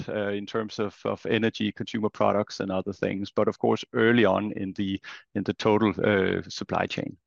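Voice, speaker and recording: A man's voice: fairly low pitch, creaky, dry recording. A man speaking as a company chief executive in an interview, explaining at length and repeating words often. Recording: dull lo-fi, dry sound.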